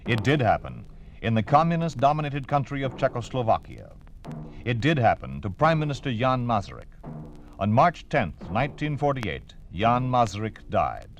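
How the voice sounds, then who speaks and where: An American man announcing an old radio drama in a solemn, formal, emphatic scripted style. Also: medium pitch. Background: dramatic orchestral music.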